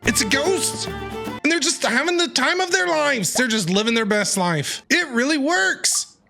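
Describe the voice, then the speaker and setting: A woman's voice: high-pitched, expressive, unhurried, vocal fry, pushed and bright, soft background music. A woman streamer giving joking, chatty commentary while playing, talking back to the characters. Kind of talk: let's play commentary.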